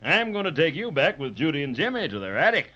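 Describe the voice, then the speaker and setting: Jolly old father christmas, kindly, bright lively voice, muffled vintage radio show. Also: fairly high pitch, fast talker, full character voice. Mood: genial. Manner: genial, hesitant hemming. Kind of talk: 1930s radio acting.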